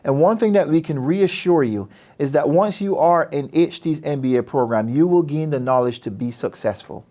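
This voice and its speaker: Guy, dean, earnest and persuasive, voice sits a bit high. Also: moderate pace, hardly any pauses, dark tone. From Barbados. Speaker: business school dean. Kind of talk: promotional interview talk.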